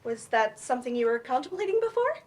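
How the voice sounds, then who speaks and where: Woman playing a therapist, high lively voice, earnest, reassuring, gently firm, stage play reading. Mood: concerned, reassuring. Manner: reassuring, measured. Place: library event room, staged reading.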